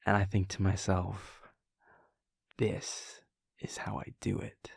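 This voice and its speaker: Very slow, gravelly male actor as young vampire prince boyfriend, wry, mischievous, hushed, halting, intimate audio roleplay, close dry mic. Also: sits low, monotone, no room sound, exaggerated voice. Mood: sardonic, reminiscing. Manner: halting, hushed, amused, trailing off. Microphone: close, dry studio microphone.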